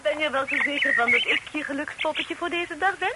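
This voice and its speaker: A woman's voice: high-pitched, a little fast, natural voice. An actress playing a playful young sister in a radio play, arch and teasing in her delivery. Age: young adult. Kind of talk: Dutch radio play acting. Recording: noisy, crackly old radio recording.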